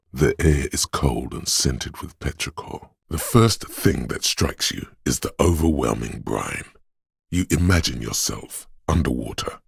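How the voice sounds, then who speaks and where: A man voicing the wry inner voice of a detective's sense of smell in a game, with a slow, sardonic delivery. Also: no echo, studio quality, full character voice. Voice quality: deep gravelly husky voice. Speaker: voice actor for game narration. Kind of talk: scripted game voice lines. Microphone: close studio mic.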